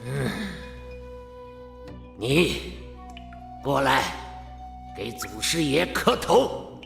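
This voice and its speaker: A man playing a sinister old Daoist master in an audio drama, drawling, mocking and cruel, with a gravelly voice. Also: mid-range voice, sing-song, drawn-out words, a bit bright, heavily acted. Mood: commanding. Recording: echoey reverberant cave effect, music behind.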